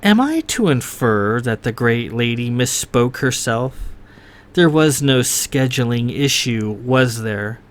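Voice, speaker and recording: A man's voice: mid-range voice, lively intonation, slow. A man voicing a stern young noblewoman. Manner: formal, stern and clipped. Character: proud, cold young noblewoman duelist.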